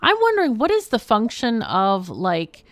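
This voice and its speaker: A woman's voice: on the high side, huge pitch swings, normal speed, no room sound. A woman hosting a livestream, chatting casually as she plays a game. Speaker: livestream host. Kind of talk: casual live game chat.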